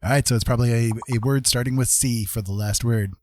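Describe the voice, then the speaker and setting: A man's voice: medium pitch, fairly even intonation, fast. A man hosting a casual livestream, commenting on a game in an encouraging, jokey manner. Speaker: livestream host.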